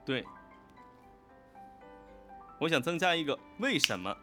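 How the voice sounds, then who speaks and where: A man co-hosting a fan quiz livestream, chatting casually and echoing short words in quick bursts as he reacts to answers. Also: fairly high pitch, sing-song, over loud music. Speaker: co-host of a fan quiz livestream for an audio drama.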